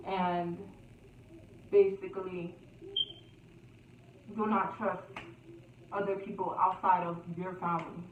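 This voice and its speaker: A young woman telling a casual storytime vlog in a chatty, repetitive way, laughing at herself now and then. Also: mid-range voice. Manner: slow, chatty, repeats phrases, laughs at herself. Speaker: YouTuber. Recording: echoey, distant, phone-like, faint hiss.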